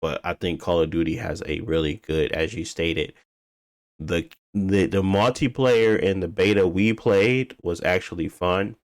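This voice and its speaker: A man with a deep voice co-hosts a gaming podcast. He talks in a loose, informal way, working out his thoughts as he goes. The sound is dry, with little room around it. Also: measured pace, no room sound. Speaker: podcast co-host. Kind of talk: casual video game discussion.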